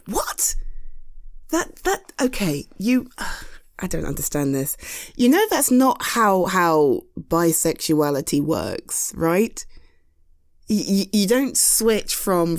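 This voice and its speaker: Lively slow British woman, reassuring. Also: fairly low pitch. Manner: halting and conversational, stumbling restarts, frank and reassuring. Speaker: audio roleplay performer. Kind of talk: scripted POV roleplay, reassuring friend.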